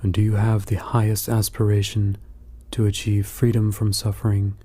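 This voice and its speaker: A man guiding a meditation in a calm, measured, soothing manner, with long pauses between instructions. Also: lowish voice, slightly rough. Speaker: meditation guide. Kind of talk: guided meditation narration.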